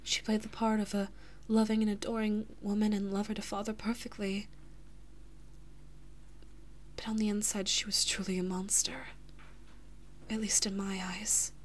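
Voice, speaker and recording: A woman playing a devoted, anxious big-sister princess and lover in an intimate audio roleplay, speaking softly and haltingly with breathy, emotional whispers. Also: medium pitch, level tone, a little hiss or hum, natural voice. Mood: subdued, bitter.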